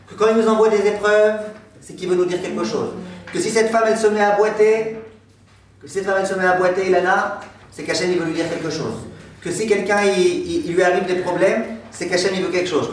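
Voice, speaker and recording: A man in his thirties, a rabbi giving a lively storytelling lesson and joking with his class in a classroom. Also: high voice, slight background noise. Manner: animated, gestures broadly, jokes with the class. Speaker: rabbi teaching a Torah class. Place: classroom with a decorated board, indoors. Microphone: far camera. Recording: echoey room from far off.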